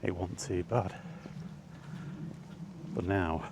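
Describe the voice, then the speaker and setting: A man's voice: low-pitched, steady tone, very slow. A British man rambling casually as he walks outdoors, hesitant and mildly grumbling, with outdoor noise around him. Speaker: vlogger. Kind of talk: rambling casual monologue while out walking. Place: outdoors, walking in a park. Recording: noisy outdoor ambience.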